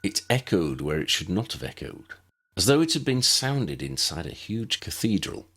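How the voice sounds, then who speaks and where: A man's voice: low-pitched, very animated, normal speed, a bit bright, slightly rough. A man reading scripted story narration as an audiobook narrator.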